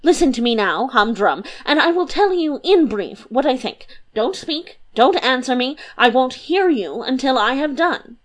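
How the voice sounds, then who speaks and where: A woman voicing a quick, flighty male philosopher who lectures emphatically. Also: high-pitched, sing-song, pitched above the performer's own voice. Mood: lecturing.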